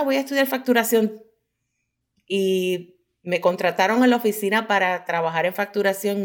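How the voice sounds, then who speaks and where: A middle-aged woman with a Puerto Rican accent, telling her story conversationally as an interview guest and mixing in English phrases. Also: fairly low pitch. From Puerto Rico. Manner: mixes English phrases into Spanish. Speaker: guest, pediatric dental hygienist.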